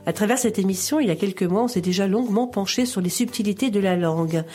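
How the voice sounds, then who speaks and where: A woman reading her scripted radio column in a measured, reassuring, explanatory way. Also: mid-range voice, mellow, breathy edge, soft background music.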